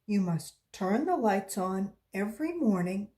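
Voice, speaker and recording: A woman's voice: mid-range voice, big pitch swings, a little slow, dark tone. A woman voicing a firm, earnest female schoolteacher in a storybook, speaking emphatically and instructively.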